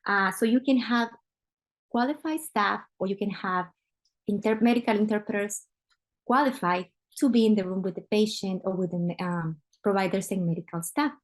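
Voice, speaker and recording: A woman's voice: medium pitch, moderate pace, low-quality recording. Guatemalan woman presenting on a webinar. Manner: frequent "uh" fillers, conversational. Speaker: guest speaker, medical interpreter. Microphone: computer mic on a video call.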